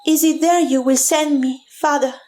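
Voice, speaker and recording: A woman's voice: sits high, fairly even intonation, moderate pace, dark tone, natural voice. A woman voicing an anxious young daughter in a fantasy audiobook, with a pleading, questioning manner. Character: anxious young daughter of a mage-gifted family.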